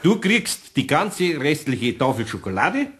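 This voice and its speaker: An actor playing a good-natured older master craftsman with a Bavarian accent in a radio play, homely, amused and gently instructive. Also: fairly high pitch, very animated, measured pace. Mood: patient. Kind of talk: German radio play acting.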